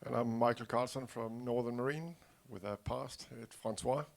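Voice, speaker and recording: A man on a panel introducing himself haltingly, with many fillers. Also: mid-range voice, steady tone, creaky. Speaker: panel speaker. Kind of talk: self-introduction.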